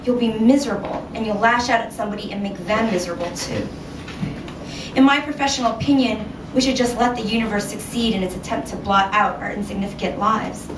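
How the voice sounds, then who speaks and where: A woman author reading her short story aloud at a live event, measured and expressive, recorded in a room from a distance. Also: mid-range voice. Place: indoor venue at a reading series. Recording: roomy, noisy live recording from afar.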